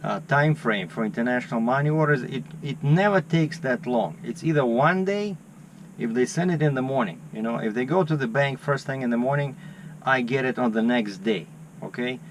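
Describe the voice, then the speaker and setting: A man's voice: medium pitch, moderate pace, a little hiss or hum. A man, a truck driver vlogging in casual chat and animatedly telling stories, acting out his phone calls. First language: Russian.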